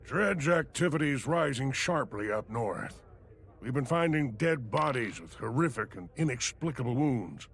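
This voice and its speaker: An actor playing an earnest, gravelly-voiced Norn clan leader in a fantasy video game. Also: male voice, medium pitch, lively intonation, a little slow, a bit bright. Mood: grave, urgent. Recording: game audio with music behind.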